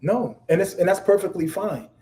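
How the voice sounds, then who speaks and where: A man with a deep voice talking bluntly and emphatically in a casual live-stream chat, swearing freely. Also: lively intonation, moderate pace, mellow. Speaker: guest.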